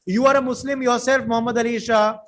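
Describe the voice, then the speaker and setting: Indian male news anchor, high-pitched, quick and pressing. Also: fairly even intonation, a bit bright. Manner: pressing, demands a yes or no answer. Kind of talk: moderating a TV news debate.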